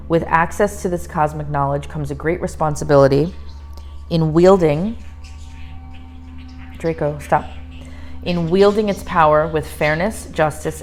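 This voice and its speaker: Low-voiced woman reading tarot messages. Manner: switches between solemn card reading and chatty asides. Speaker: tarot reader. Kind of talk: reading tarot card messages aloud to camera. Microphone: microphone.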